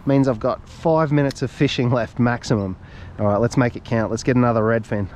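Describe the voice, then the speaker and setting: A man's voice: mid-range voice, fairly even intonation, a little mellow, a little hiss or hum. Australian man muttering commentary while fishing. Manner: chatty, self-talking, quick to laugh. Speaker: fishing vlogger. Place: outdoors on a reservoir bank. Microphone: head- or chest-mounted action camera.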